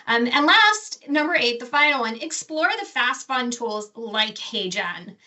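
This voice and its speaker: Bright-voiced woman presenting from a distance. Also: fairly high pitch, lively intonation, unhurried. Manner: enthusiastic, with frequent restarts and self-corrections. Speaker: professor giving a presentation. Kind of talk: casual presentation with slides. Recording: distant from the mic.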